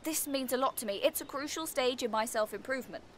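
A woman's voice: high-pitched, fast, natural voice. A woman playing an exasperated, dramatic character in a radio comedy play, earnest and emphatic. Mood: intense, exasperated. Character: exasperated, dramatic woman determined on fresh start.

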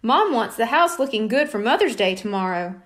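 A woman's voice: fairly high pitch, expressive, fairly quick, fairly bright tone. A woman voicing a boy, a bossy and sly older brother with a blunt, scornful manner, in a children's storybook.

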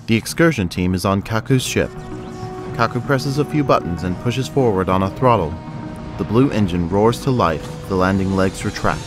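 Male narrator, low register, reading scripted scenes for an audio drama. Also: moderate pace, music in the background.

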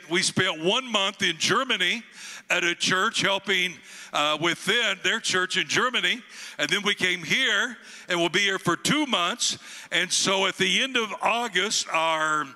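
An older man in his sixties from the United States, a guest pastor with a booming voice, preaching and praying slowly into a handheld microphone on a church stage. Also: pushed and bright. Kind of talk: sermon and prayer. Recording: long hall reverb, faint music behind.

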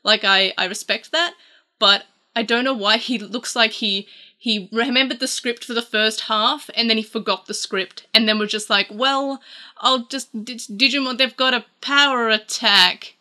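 Chatty Australian woman with a bright voice. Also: a little high, fairly even intonation, normal speed. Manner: chatty, rambling with frequent fillers. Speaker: YouTube host. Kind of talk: casual chat reviewing old ads. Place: small room with toy-filled shelves.

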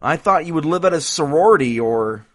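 A man's voice: on the high side, expressive, fairly quick, natural voice. Man as a lighter-voiced, wry college student. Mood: easygoing. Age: young adult. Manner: dry, teasing. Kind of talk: audio drama acting.

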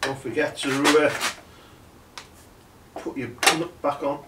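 A man's voice: a little high, fairly even intonation, slow pace, recorded from a distance, heavy background noise. An older man talks casually to the camera while he works on a car in his home garage, muttering asides about nuts, bolts and spanners. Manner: mutters while working, plain chatty asides. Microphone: camera mic from a distance. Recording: echoey garage from off-mic.

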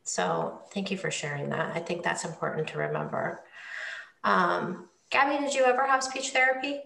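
A middle-aged woman moderating a panel over a video call from home, with a gentle manner and frequent repeated words. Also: fairly low pitch, moderate pace, gravelly. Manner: gentle, repeats words, asks follow-up questions. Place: room at home, indoors. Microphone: laptop webcam on video call. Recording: echoey room sound.

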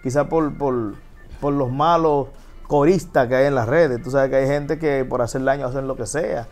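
A man in his thirties with a Dominican accent, a DJ guest chatting casually about his life in a television studio interview, relaxed and prone to restarts. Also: medium pitch, moderate pace. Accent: Dominican Spanish. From Dominican Republic. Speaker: guest DJ and TV host. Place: TV studio set.

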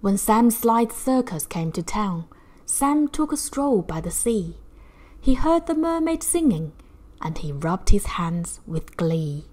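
A woman narrating a rhyming children's picture book in a measured, rhythmic, theatrical way. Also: medium pitch, big pitch swings, mellow. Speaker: children's story reader.

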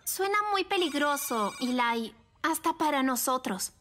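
A woman's voice: high-pitched, animated, a little slow, background noise. A woman playing a spirited, skeptical teenage girl adventurer in a cartoon dub. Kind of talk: animated cartoon dub acting.

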